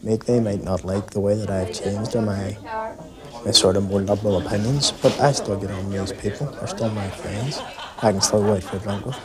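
A man's voice: fairly low pitch, fairly even intonation, fairly quick, crowd noise. A man, talking casually and reflectively in an interview. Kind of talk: documentary interview.

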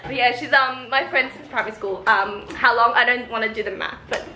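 A woman's voice: fairly high pitch, fairly quick, music in the background, low-quality recording. A woman, chatting casually and playfully in a vlog. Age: early 20s. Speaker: vlogger. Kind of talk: casual birthday vlog chat. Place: apartment bedroom and living room. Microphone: handheld camera at arm's length. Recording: loud background noise, handheld camera in apartment.

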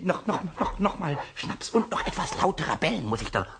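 A man's voice: very animated, brisk, gravelly, very noisy. An actor playing a cheeky, mischievous little male kobold in a 1960s radio play, who chatters, stammers and repeats himself. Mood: tipsy. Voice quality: high squeaky voice, chatters and stammers.

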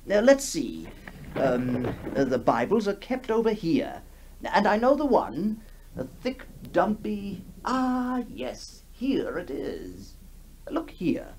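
A man's voice: high-pitched, wildly swooping pitch, takes it slowly, a little mellow, a little hiss or hum, voice pushed up, heavily acted. A man playing an imperious, hearty, impatient country squire in a radio drama, commanding and dismissive. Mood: jovial, curious. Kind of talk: 1960s radio drama acting.

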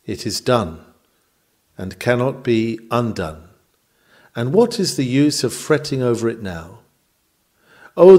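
A man reading a sermon aloud with emphatic, measured, oratorical phrasing in a rhetorical preaching style. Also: medium pitch, darkish tone. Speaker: sermon reader.

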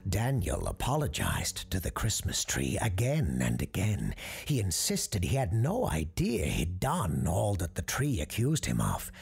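A deep-voiced narrator tells a scripted ghost story with a gravelly creak. The delivery is hushed, suspenseful and widely varied in pitch, over music. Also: wildly swooping pitch, a little slow, few pauses. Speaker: storyteller, host.

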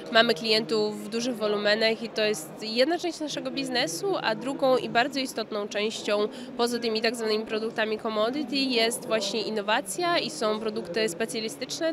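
A woman in her thirties giving an enthusiastic, explanatory interview as a company marketing manager, speaking into a handheld microphone. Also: on the high side. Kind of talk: spontaneous trade fair interview. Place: indoor exhibition hall in front of backdrop. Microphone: handheld interview microphone just below frame. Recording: busy trade fair hall crowd noise. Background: crowd hubbub.

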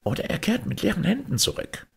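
A man voicing a cunning old fairy-tale witch, with a high, breathy, creaky voice and a sly, swooping delivery. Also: huge pitch swings, fairly quick, fairly bright tone, heavily acted. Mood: sly scheming.

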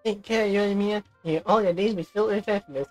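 A woman's voice: medium pitch, a little slow, a little mellow. A woman doing a casual game playthrough, reading on-screen text aloud and commenting. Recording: voice over game music. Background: game music.

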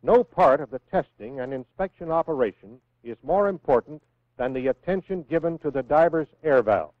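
An American man reading scripted instructions in a measured, clearly enunciated way, as the narrator of a 1960s military training film. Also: on the high side, animated, no echo. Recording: phone-like vintage 1960s film soundtrack.

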